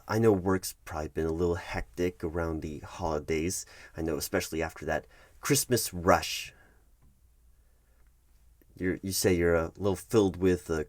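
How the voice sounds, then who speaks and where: A man acts out an HR manager in a one-sided ASMR office roleplay. The voice is a fairly low male one with a very dry, echo-free sound. He is polite but officious and evasive, stumbling hesitantly through formal company language. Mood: polite, awkward. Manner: hesitant, bureaucratic, stammering over formal wording.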